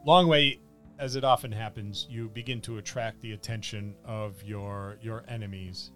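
Man, game master, low bright voice, halting descriptive battle narration, music behind. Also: fairly even intonation. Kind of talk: improvised narration of a tabletop fantasy battle.

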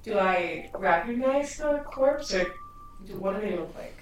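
A woman playing a character in a tabletop game, talking in an unhurried, amused way. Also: mid-range voice, expressive, muffled recording. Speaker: player. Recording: roomy, very loud background music.

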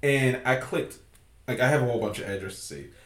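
Profane, loud-joking podcast host man. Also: medium pitch, animated, a little fast, roomy sound. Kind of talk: casual podcast banter.

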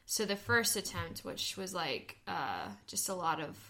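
A woman's voice: fairly low pitch, lively intonation, unhurried, pushed and bright. A young woman co-hosting a podcast in casual chat, talking with dry, self-deprecating humor and many fillers. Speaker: podcast co-host.

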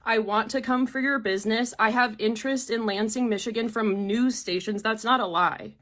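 Female caller, fairly high voice, threatening and accusatory in a heated argument. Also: steady tone, moderate pace, a bit bright. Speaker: phone caller. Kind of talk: confrontational phone argument.

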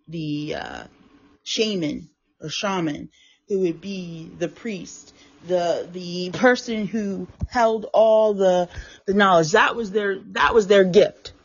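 A woman hosting her own talk show, speaking casually and rambling, with a hoarse, froggy throat. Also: fairly low pitch, dull audio. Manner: chatty, rambling, repeats and restarts phrases. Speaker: podcast host. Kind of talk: casual solo talk on mindset and manifestation.

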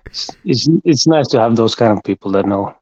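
A man in his forties, a guest on a podcast, speaking calmly and plainly, repeating words as he thinks. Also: on the low side, fairly quick, no echo, dull audio. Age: 40s. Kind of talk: casual chat.

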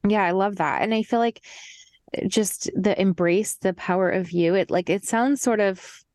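A woman's voice: mid-range voice, moderate pace, darkish tone, no echo, studio quality. A woman hosting a casual interview, speaking informally and peppering her talk with "like". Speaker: podcast host.

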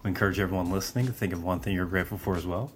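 A man hosting a podcast, energetic and promotional, moving the show along. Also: low voice, rapid-fire, faint music behind. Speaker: podcast host.

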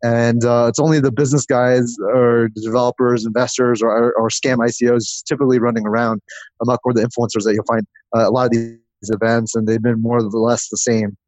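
A man's voice: mid-range voice, flat delivery, a little fast, a little mellow, no room sound, poor audio. A man speaking as a podcast guest in a casual interview, explaining things in a rambling way with lists. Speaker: podcast guest, growth marketer.

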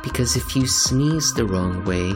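A man's voice: medium pitch, lively intonation, a little slow, over music. A man hosting a podcast, reading in a wry, dramatic way that mixes theatrical comic narration with casual commentary. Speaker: podcast host and reader.

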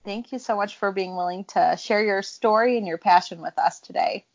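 A woman hosting a podcast who asks her questions in a friendly, easy way. Her voice sits at a middling pitch, and the audio is a little dull. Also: moderate pace, vocal fry. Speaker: podcast host. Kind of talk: casual interview chat.

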